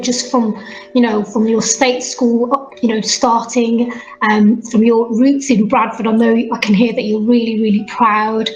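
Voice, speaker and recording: A woman's voice: a little high, lo-fi sound. A woman presenting an interview, thanking her guest and summing up, with many repeated "you know" fillers. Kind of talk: interview host.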